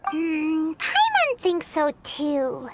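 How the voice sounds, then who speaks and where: A woman voicing a tiny, excitable, chatty fairy companion in a video game, with a squeaky childlike voice and hesitant little stammers. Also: drawn-out words, very noisy, exaggerated voice. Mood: pondering. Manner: refers to self in third person, hesitant stammering. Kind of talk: animated video game character acting. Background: music.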